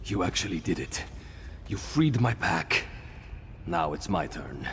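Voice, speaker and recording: Low, gruff werewolf in cavernous reverb. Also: male voice, very animated, measured pace, fairly bright tone, full character voice. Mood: grave, businesslike. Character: gruff male escaped werewolf, wary but grateful ally. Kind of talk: video game quest dialogue. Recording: game audio with long reverb, faint ambient noise.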